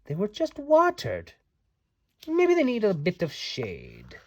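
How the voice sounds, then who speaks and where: Man, storybook read-aloud, slow pace, lively swooping delivery, playful, theatrical. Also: sits high, highs cut off, a little hiss or hum. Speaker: parent reading aloud to children.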